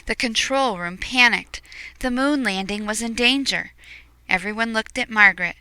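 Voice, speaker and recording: A woman reading a picture book aloud expressively, explaining things to young listeners in hushed asides. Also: fairly high pitch, moderate pace, clear, resonant tone.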